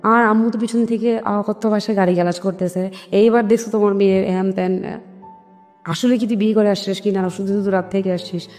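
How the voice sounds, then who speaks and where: A Bangladeshi woman recounts her own story in an interview, voicing the dialogue as she goes. Her speech is quick and mid-pitched, with some English mixed in. The recording has a long hall-like reverb with music underneath. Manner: animated retelling with quoted family dialogue, English words mixed in. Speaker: guest telling her life story.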